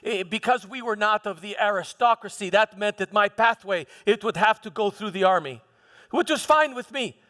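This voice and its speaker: A man playing a proud, defensive Roman governor in a live church monologue, declaiming emphatically with halting repeated words. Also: high-pitched, pushed and bright. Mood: proud, reminiscing. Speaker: preacher performing a dramatic monologue. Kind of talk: first-person theatrical church monologue. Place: large hall, live church performance. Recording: long hall reverb.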